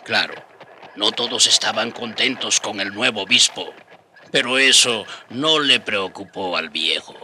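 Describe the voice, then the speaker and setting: A man's voice: fairly low pitch, a little slow, noisy background, close to an everyday voice. A man playing a companion friar who narrates a radio drama as scripted storytelling. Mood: solemn storytelling. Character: companion friar recounting the old bishop's life.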